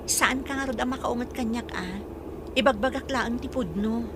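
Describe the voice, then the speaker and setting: A woman's voice: on the high side, normal speed, background noise, close to an everyday voice. An actress with an Ilocano accent playing a frank, earnest young woman in a radio drama. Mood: frustrated. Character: Shirley, earnest young woman, frank and principled daughter and girlfriend. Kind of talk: Filipino radio drama acting.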